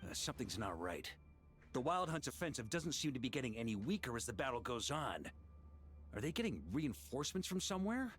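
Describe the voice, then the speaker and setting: A man voicing a confident, commanding battle leader in a video game, rallying and decisive, over loud music. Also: medium pitch, big pitch swings, fairly quick. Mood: wary.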